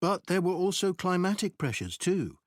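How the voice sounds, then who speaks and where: A man giving a scripted academic presentation in a measured, explanatory way. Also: high-pitched, sing-song, no room sound, studio quality. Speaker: presenter in a recorded exam listening test.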